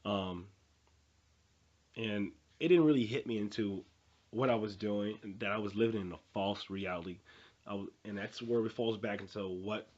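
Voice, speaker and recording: An earnest young man in his twenties gives a rambling motivational talk, full of fillers and repeated phrases. His voice sits fairly low and sounds husky. The recording is dull and muffled. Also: normal speed, darkish tone. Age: 20s. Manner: earnest, repetitive, with many fillers and restarts. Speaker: motivational vlogger. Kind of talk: unscripted motivational monologue.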